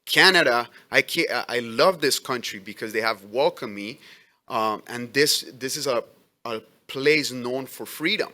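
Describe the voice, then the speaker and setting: A man who says he is an immigrant with an accent, a letter carrier giving earnest, halting testimony at a public hearing. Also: mid-range voice, sharp tone. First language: not English. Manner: earnest, halting, many restarts.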